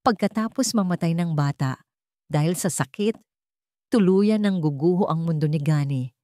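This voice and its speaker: A woman's voice: fairly low pitch, moderate pace, mellow, no echo, studio quality, close to an everyday voice. A woman with a Filipino accent voicing a cold, calculating, cruel female schemer in a story, with scornful delivery.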